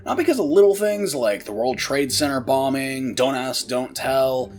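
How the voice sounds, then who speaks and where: A man's voice: mid-range voice, animated, normal speed, a little mellow, faint music behind. A man delivering scripted commentary as the host of a video essay.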